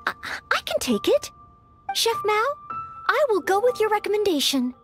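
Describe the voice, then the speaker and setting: High-pitched, earnest young maid-knight. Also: female voice, big pitch swings, moderate pace, music in the background. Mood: determined, nervous. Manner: polite, earnest, slightly flustered.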